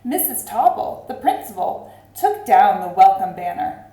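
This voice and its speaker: Animated woman reading a story, roomy sound. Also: medium pitch, unhurried. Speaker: teacher reading a storybook aloud. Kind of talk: expressive read-aloud for children.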